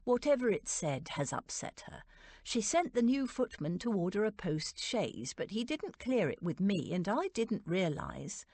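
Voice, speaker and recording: A woman's voice: big pitch swings, unhurried, darkish tone, no echo. A woman voicing an anxious, deferential English butler who pleads respectfully in a storybook reading. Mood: worried. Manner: low-voiced, pleading, respectful.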